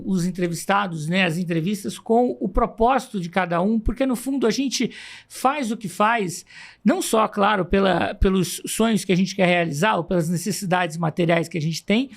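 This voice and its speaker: Gravelly-voiced interviewer. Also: mid-range voice, moderate pace. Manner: rambling questions with frequent "né". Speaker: podcast host, interviewer. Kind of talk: casual interview questions.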